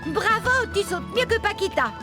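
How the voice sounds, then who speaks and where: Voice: very high-pitched, lively intonation, normal speed. An actor voicing an eager, cheeky child character in a children's farm cartoon, with bouncy, encouraging delivery. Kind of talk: French animated children's series dialogue. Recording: music behind the voice.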